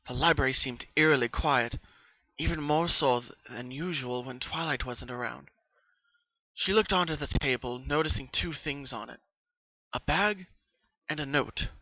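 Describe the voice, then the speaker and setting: A man narrating a story as a dramatic reading, with expressive, suspenseful delivery. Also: mid-range voice, moderate pace, no echo, poor audio. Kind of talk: dramatic fan fiction reading.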